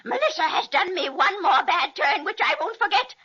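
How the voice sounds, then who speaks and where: A wicked old witch in a vintage radio serial. Her shrill voice is bright and swings wildly as she spits menacing threats and cackles. The recording is dull and aged. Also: animated, moderate pace, exaggerated voice. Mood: bitter, spiteful. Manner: theatrical, menacing incantations and threats.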